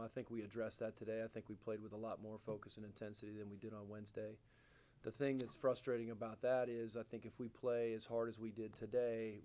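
A man's voice: on the low side, mellow. A middle-aged man, a college basketball head coach, answering questions at a post-game press conference. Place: indoor press conference room, seated at table.

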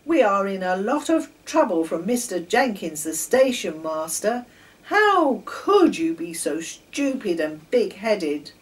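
A woman voicing a usually calm male engine driver who scolds his steam engine in a storybook. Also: sits high, measured pace, far from the mic, voice pushed up, low-quality recording. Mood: furious. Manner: stern, loud scolding.

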